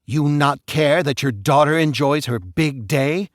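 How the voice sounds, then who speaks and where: A man's voice: on the high side, a little slow, no room sound, studio quality, pitched above the performer's own voice, lightly acted. A man voicing a bossy, short-tempered middle-aged mother who talks in a brash, scolding way.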